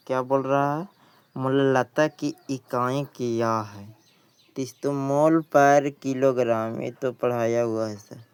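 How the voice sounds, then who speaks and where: Guy teacher w going thru exam questions, super clean smooth voice, unhurried, repeats stuff. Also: mid-range voice, a little mellow. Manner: repeats questions and answers for emphasis. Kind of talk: exam question walkthrough lesson.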